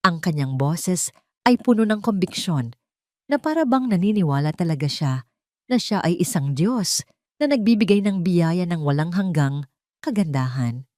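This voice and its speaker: A woman's voice: fairly low pitch, expressive, moderate pace, a little mellow, no echo, studio quality. A woman narrator reading a dramatic scripted story.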